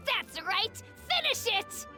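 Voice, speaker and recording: A woman's voice: extremely high pitch, bright, forceful tone, heavily acted. A woman playing a boastful, confident young child in a video game. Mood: gloating. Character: boastful little child beetle fighter, confident.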